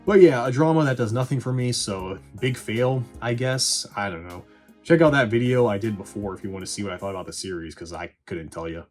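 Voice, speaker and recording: A man with a husky voice giving casual, scripted movie review commentary in a sarcastic, irreverent, sweary manner. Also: lowish voice, brisk, music in the background. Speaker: video reviewer.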